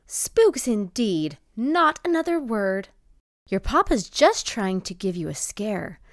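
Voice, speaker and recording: A woman's voice: medium pitch, very animated, takes it slowly, no room sound, studio quality. A woman playing a wise, no-nonsense mother bear with a playful streak in a children's storybook read-aloud. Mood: dismissive.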